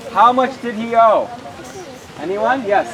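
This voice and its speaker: A man's voice: lively intonation, takes it slowly, a crowd in the background. A man speaking as pastor and emcee, quizzing children at an outdoor puppet show, repeating their answers and checking with short questions. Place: outdoors at an open-air show. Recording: noisy outdoor recording with traffic rumble.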